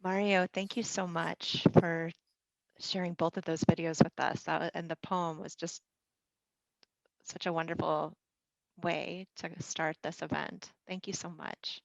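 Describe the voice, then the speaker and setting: A woman's voice: fairly low pitch, level tone, a little slow, gravelly, dry recording. A woman moderating a panel, thanking speakers and introducing guests graciously. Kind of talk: event moderation. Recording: dull, lo-fi sound.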